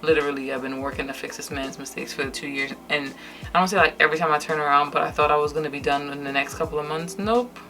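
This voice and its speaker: Young woman, light Trinidadian accent, low voice, tired, candid vlog chat, music playing behind. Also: steady tone, quick pace, a bit bright. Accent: Trinidadian English, lightly. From Trinidad and Tobago. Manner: candid and chatty, many fillers and restarts. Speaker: vlogger. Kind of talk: casual chat to camera while doing makeup. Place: indoors at home, night.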